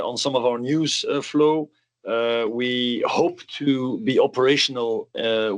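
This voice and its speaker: Male executive, mid-pitched voice, measured and explanatory, fielding questions on an earnings call. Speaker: company executive.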